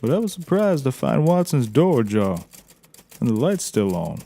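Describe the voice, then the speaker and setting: A man's voice: mid-range voice, sing-song, normal speed, creaky, mellow. A man playing a naive, folksy taxidermist and tracker in a scripted audio comedy, rambling with drawled interjections. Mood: earnest, rambling.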